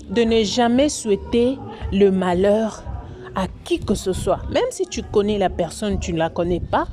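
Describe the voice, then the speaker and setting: A woman's voice: mid-range voice, background noise. A woman from Congo, hosting a commentary vlog in a preachy, moralizing way that repeats its points. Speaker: YouTube channel host.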